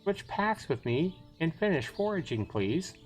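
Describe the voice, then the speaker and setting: A man's voice: a little high, very animated, measured pace, husky, faint music behind, voice pushed up, exaggerated voice. A man voicing a curt, grumbling older village aunt in a visual novel, with a brusque manner. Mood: curt, weary. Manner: brusque, evasive. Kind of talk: visual novel character reading.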